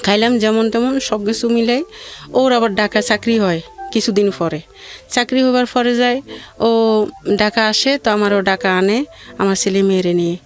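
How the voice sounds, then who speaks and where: A woman telling her life story in a plaintive, rambling way with halting repeats. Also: medium pitch. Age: adult mother of two. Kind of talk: personal hardship testimony in conversation.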